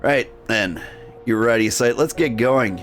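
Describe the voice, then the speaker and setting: A man's voice: medium pitch, unhurried, faint music behind, lightly acted. A man voicing a cold, curt masked gunman from a story game, brusque and commanding. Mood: brusque, impatient. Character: cold, curt masked male stranger with a gun.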